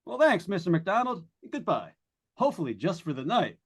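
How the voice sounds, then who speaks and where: A man's voice: sits high, sing-song, moderate pace, breathy edge, exaggerated voice. An actor playing a breezy, joking male radio host on a ghost hunt, chatty and folksy in old-time radio drama style. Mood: joking, nervy. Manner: chatty, folksy showman delivery. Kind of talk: 1940s live radio drama acting.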